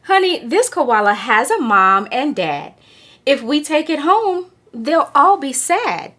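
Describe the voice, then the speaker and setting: A calm, caring mother from a picture book, as a woman reads her. She reasons gently with a bright, lilting voice and takes her time. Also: high voice, takes it slowly, full character voice. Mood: gentle.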